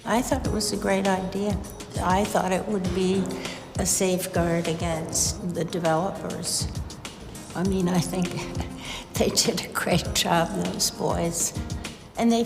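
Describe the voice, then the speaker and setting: Slow, creaky-voiced woman. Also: medium pitch, fairly even intonation. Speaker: gallery owner. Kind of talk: unscripted documentary interview. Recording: long reverberant hall. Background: music.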